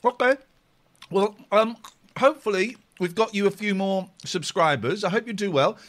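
A man's voice: high voice, normal speed, a bit bright. A middle-aged man with an English accent, chatting casually as host of a live phone-in stream. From England. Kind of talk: casual live chat with callers.

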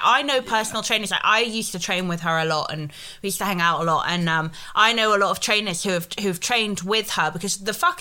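Female co-host, bright tone, sweary and enthusiastic. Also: mid-range voice. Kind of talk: casual podcast chat.

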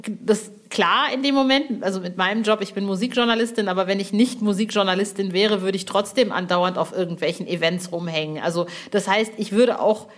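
A woman's voice: medium pitch, fairly quick, hardly any pauses. A woman hosting a podcast interview in casual, effusive fan chat, with colloquial slang and the odd swearword.

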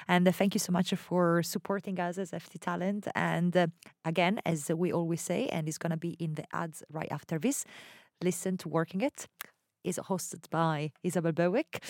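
A woman host wraps up the session with thanks, pausing often on uh. Her voice is somewhat low and the recording is dry. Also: no room sound. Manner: warm thanks, many uh fillers. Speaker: event host. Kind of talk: wrapping up a session.

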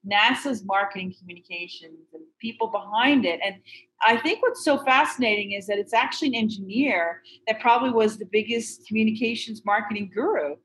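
Lady host interviewing a guest, eager, mid-pitched voice. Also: fairly quick, fairly bright tone, poor audio. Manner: enthusiastic, asks leading questions. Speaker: podcast host. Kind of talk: interview hosting.

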